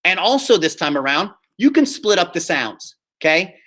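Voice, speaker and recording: A man speaking as a high school drama teacher, giving upbeat, informal instructions to students and often checking that they follow. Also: sing-song, moderate pace, fairly bright tone. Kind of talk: casual instructional talk to students in an online class.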